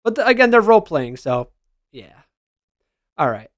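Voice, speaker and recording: A man's voice: sits high, big pitch swings, moderate pace, no room sound, studio quality. A man giving casual, slangy reaction commentary as a gaming content creator, responding aloud to what he reads.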